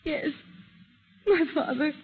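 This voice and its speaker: A woman playing a distraught, timid young daughter, halting and stammering, in 1930s radio drama style. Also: sits high. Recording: vintage radio recording, narrow band, hissy.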